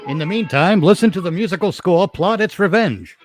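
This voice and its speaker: A man with a slightly high voice makes joking asides over an old radio drama. His delivery swings up and down in pitch. Music plays clearly behind him. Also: expressive, a little fast. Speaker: comic commentator on old radio shows.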